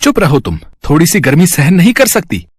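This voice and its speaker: A man voicing a bossy, complaining village farmer in an animated moral story. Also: on the high side, a little fast, breathy edge, no echo, clean studio sound, heavily acted. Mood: grumbling.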